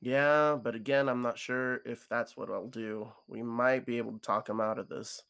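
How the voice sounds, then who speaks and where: A man voicing a cautious, protective young male friend in a visual novel, hesitant and low-key. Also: fairly even intonation, normal speed, vocal fry, fairly bright tone, clean tone. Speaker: co-reader voicing a character on stream.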